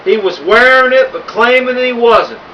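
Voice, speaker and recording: A man's voice: very high voice, moderate pace, pushed and bright, far from the mic, slight background noise, low-quality recording. A man reading a children's novel aloud in the first person, with a wry, conversational delivery. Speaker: audiobook narrator.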